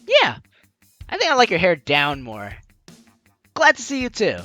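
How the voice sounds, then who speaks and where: A woman's voice: fairly low pitch, wildly swooping pitch, a little slow, a bit bright, faint music behind. A woman hosting a gaming video, reading game text aloud with playful, theatrical asides and casual commentary.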